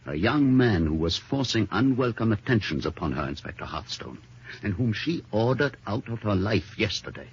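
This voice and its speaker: A wealthy middle-aged husband in an old detective radio drama, full of wounded dignity. His voice is deep and very husky, swinging theatrically through formal, measured phrases. The recording is dull and lo-fi. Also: big pitch swings, heavily acted. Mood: offended, insistent. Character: smooth, defensive older wine merchant husband, wounded dignity.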